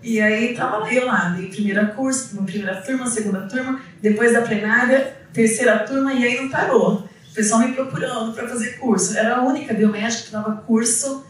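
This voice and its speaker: A woman's voice: a little high, fairly even intonation, a little fast. Woman speaking in an echoey hall. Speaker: biomedical aesthetics educator giving a talk. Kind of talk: informal spoken storytelling to an audience. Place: indoor event room, on a small stage with seated audience. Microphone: handheld microphone, recorded by a distant camera. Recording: echoey hall, picked up from far off.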